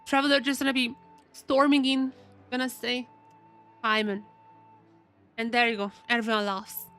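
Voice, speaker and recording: A woman giving casual, chatty live commentary on a video game, excitable and often repeating her phrases. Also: a little high, normal speed. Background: game music.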